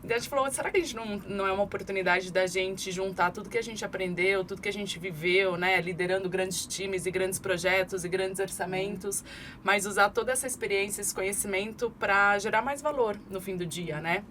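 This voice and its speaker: A woman in her forties, from Brazil, a marketing expert guest on a podcast, explaining her career in steady, articulate speech with frequent fillers. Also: mid-range voice, a little fast. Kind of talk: conversational podcast interview. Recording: clear background noise.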